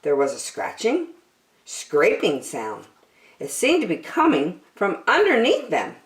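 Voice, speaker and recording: A woman reading a children's story aloud expressively, with deliberate, dramatic phrasing. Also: on the low side, wildly swooping pitch, reverberant.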